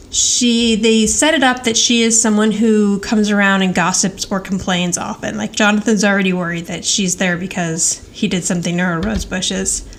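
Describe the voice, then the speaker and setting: A woman's voice: medium pitch, slight background noise. A woman co-hosting a podcast, recapping scenes in detail in a casual, conversational way. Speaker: podcast co-host. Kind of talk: casual episode recap chat.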